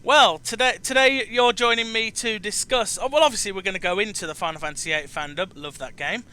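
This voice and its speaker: Male chat host, very bright and forceful, high-pitched, halting but emphatic. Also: smooth. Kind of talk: online interview.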